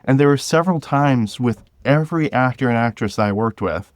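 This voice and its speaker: A man speaking as an interview guest, telling stories about directing in a casual, halting way with some chuckles. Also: medium pitch, lively intonation, no echo, clean studio sound. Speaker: guest, director of an audio drama podcast.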